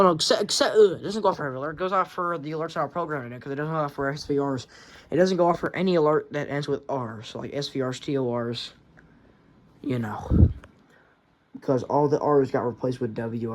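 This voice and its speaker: A man giving a casual show-and-tell vlog in a rambling, offhand, sweary way, recorded on a handheld phone. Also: mid-range voice. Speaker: hobbyist presenting a radio collection. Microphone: phone held in hand.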